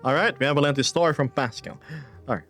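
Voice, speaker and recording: A man hosting a casual live stream, reading viewer messages aloud in a playful, laid-back way with hushed asides and upbeat bursts. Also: fairly high pitch, fast talker, soft background music.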